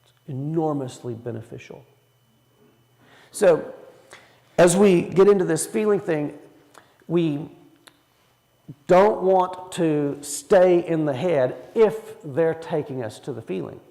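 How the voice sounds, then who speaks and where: A man's voice: fairly high pitch, lively intonation, takes it slowly, long pauses, mellow, in a large hall. A man leading a church Bible study in a folksy way, asking the room questions as he teaches. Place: church hall.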